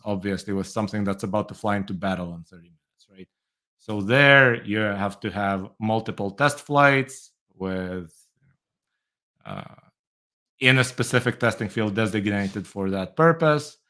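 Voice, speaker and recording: A man's voice: fairly low pitch, fairly bright tone. A middle-aged man from Moldova who grew up in Israel, answering interview questions thoughtfully with light laughs. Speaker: guest, tech entrepreneur.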